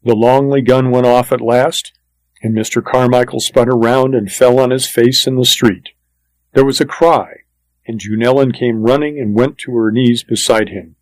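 A man's voice: medium pitch, steady tone, moderate pace, dry recording, low-quality recording. A man reading a first-person western story as scripted audiobook narration, in a plain, matter-of-fact manner.

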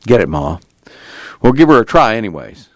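A man voicing a level-headed young farm son with calm, practical, folksy rural speech. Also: lowish voice, moderate pace, no room sound.

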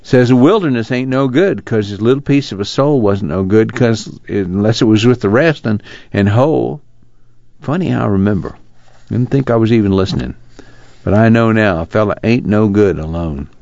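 A man's voice: on the low side, lively intonation, normal speed, gravelly, darkish tone, dry recording, dull audio. A man playing an earnest young fugitive farm son in a dramatized novel reading, reflective and plainspoken, with a drawl.

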